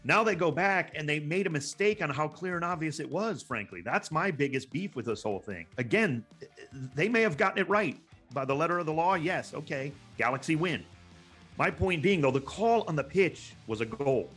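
A man's voice: a little high, animated, normal speed, fairly bright tone, breathy edge, faint music behind. A man giving impassioned sports commentary in a panel discussion, emphatic and exasperated, repeating words for stress. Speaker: sports broadcaster, guest pundit.

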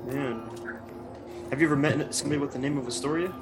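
A man playing a tabletop game, talking in character in a halting, hesitant way. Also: mid-range voice, fairly even intonation, over music. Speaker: player.

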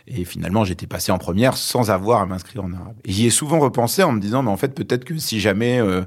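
A man in his forties with a very low, deep voice, speaking as a guest in an interview. He tells his story slowly and thoughtfully, pausing often and searching for his words. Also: breathy edge. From Lebanon. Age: 40s. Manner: reflective, many hesitations. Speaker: author and journalist guest.

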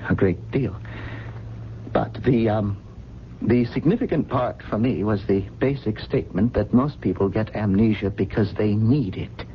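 An actor playing a bewildered, fearful, well-spoken amnesiac in a radio drama, talking haltingly and earnestly. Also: male voice, on the low side, natural voice. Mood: earnest, searching. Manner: halting, earnest, articulate, repeats words. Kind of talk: 1970s American radio drama acting. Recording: muffled, noisy old radio broadcast recording.

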